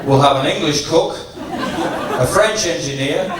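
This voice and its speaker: A man giving a humorous after-dinner tribute speech to an audience, in a teasing, deliberate storytelling manner. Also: a little high. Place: large function room at a dinner, distant microphone. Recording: echoey noisy hall, from far off.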